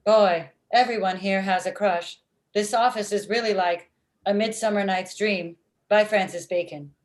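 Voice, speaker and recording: Deadpan female office robot, played by a woman. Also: medium pitch, normal speed, natural voice. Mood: deadpan, matter-of-fact. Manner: deadpan, stilted formal phrasing. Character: blunt, murderous female office robot, literal-minded. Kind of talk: comedy script table read. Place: indoor room at home, video call. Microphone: video call.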